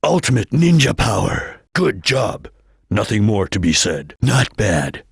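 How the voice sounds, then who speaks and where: A man voicing a stern, disciplined ninja chameleon in a video game, with a solemn, formal, clipped delivery and a husky voice. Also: lowish voice, sing-song, a little slow, dry recording, clean studio sound, full character voice. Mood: grave, resolute. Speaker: video game character giving battle lines and tutorials. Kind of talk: dramatic video game voice acting.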